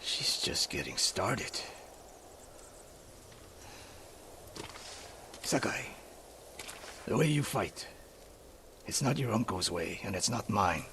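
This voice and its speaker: Gruff older samurai master. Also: male voice, on the low side, very animated, unhurried, bright, forceful tone, slight background noise, heavily acted. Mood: grave, reproving. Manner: terse, authoritative, grave. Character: stern, world-weary older samurai archery master and mentor. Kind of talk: dramatic video game acting. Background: music.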